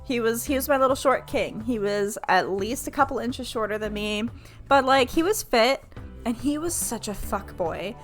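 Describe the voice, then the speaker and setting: Animated high-voiced American woman. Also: normal speed, faint music behind. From United States, Florida. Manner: animated, self-mocking, acts out quoted dialogue; profane, slangy, heavy use of "like". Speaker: streamer telling personal stories to her chat. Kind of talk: casual, candid storytelling to a live chat.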